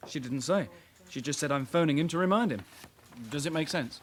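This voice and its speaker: Radio drama sidekick, male actor: young constable, keen and questioning, lightish higher pitch, slight noise with clicks behind. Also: fairly quick, lightly acted. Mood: reporting. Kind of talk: naturalistic radio drama acting.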